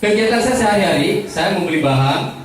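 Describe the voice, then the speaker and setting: A man's voice: high-pitched, animated, fairly quick, slight background noise. An Indonesian man giving a motivational talk to students, joking and asking the crowd questions. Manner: joking, asks the audience questions. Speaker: motivational speaker. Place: large school hall. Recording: very echoey hall, speaker far from mic. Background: students laughing and reacting.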